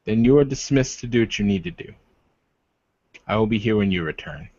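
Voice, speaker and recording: A man's voice: on the low side, expressive, brisk, a little mellow, no room sound, lo-fi sound. A man playing a proud, wounded werewolf war leader in a tabletop roleplay, speaking formally and defensively. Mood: cold, hurt.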